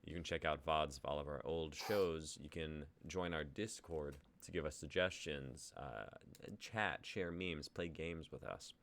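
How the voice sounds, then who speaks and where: A young man with a very low, bright voice hosts a livestream. He chats informally and jokes in slang, as if wrapping up a show among friends. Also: unhurried, few pauses. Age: 20s. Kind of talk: casual group chat and sign-off.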